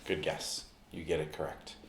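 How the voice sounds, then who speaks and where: A man speaking in an ASMR roleplay, addressing the listener directly in short, unhurried phrases with pauses. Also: reverberant. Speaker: ASMR performer playing a basketball recovery expert.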